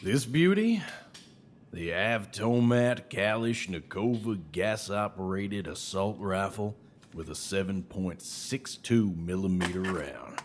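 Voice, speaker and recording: A man's voice: deep voice, slow, a little hiss or hum. An actor playing a cocky, menacing salesman and trained killer in an audio drama. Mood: cocky, relishing. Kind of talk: podcast audio drama acting.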